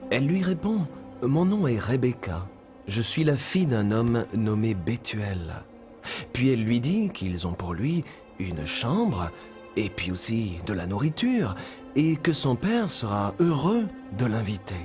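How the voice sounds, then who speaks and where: A man playing a kindly storytelling mentor in a children's cartoon dub, with measured, instructive delivery. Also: medium pitch. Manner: measured, expressive, instructive narration. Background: music behind the voice.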